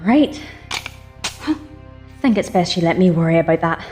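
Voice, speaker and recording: A woman voices a level-headed space commander from Earth, dry and practical. Her delivery stays calm even under pressure. Soft music sits in the background. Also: fairly low pitch, normal speed, close to an everyday voice. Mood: guarded. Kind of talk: audio drama acting.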